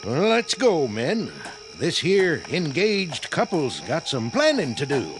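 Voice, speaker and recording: Awkward folksy country man, highish and very animated pitch, halting delivery. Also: music in the background, heavily acted. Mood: sheepish. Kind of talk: radio drama acting.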